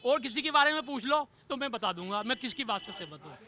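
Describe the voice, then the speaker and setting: Very high, sharp-voiced emphatic man. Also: animated, fast. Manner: emphatic, repetitive. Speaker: member of the public. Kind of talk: casual street opinion. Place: outdoors on a street. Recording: faint outdoor street noise.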